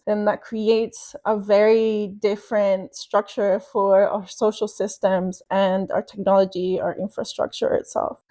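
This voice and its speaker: A woman's voice: medium pitch, dark tone. A woman from Venezuela, a researcher guest on a podcast, giving a long reflective answer with many fillers and restarts.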